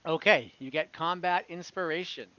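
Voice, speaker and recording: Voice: medium pitch, moderate pace, a bit bright, no echo. A game master running an online tabletop fantasy game, thinking aloud while tallying dice and narrating combat. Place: online voice call. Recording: dull lo-fi mic with faint noise and clicks.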